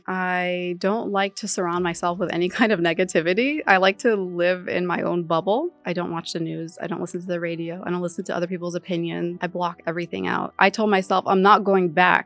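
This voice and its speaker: A woman's voice: on the low side, a bit bright, no echo. A middle-aged woman from Iran, a podcast guest talking candidly and with determination about her career change. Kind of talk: casual interview talk.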